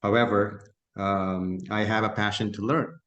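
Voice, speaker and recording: Low-voiced man, native Malayalam speaker. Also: fairly even intonation, unhurried, fairly bright tone, muffled recording. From south India. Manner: earnest and reflective, with frequent fillers. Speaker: guest participant. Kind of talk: casual talk at an online meeting. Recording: roomy echo on a call.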